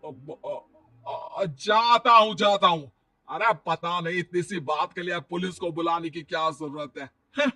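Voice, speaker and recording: A man's voice: fairly high pitch, measured pace, bright, forceful tone, dry recording, faint music behind. A man voicing a stubborn, grumbling street cart vendor in a cartoon, with a defiant manner. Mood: flustered, grumbling.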